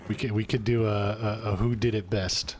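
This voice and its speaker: Low-voiced folksy man, game streamer. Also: steady tone, moderate pace, soft background music. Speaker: streamer playing an old cowboy character. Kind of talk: roleplay and chatting with stream chat.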